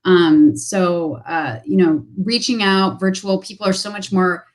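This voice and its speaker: A woman's voice: lowish voice, measured pace. A woman talking business as a guest on a video call, upbeat and full of fillers, repeating her phrases for emphasis.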